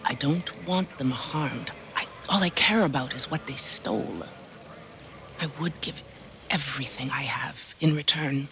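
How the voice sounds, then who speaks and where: A woman's voice: sits low, measured pace, sharp tone, slight background noise, full character voice. A woman playing a sweet-talking, cunning old widow with a doting, theatrical manner in a video game. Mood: plaintive. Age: older. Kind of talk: video game cutscene acting.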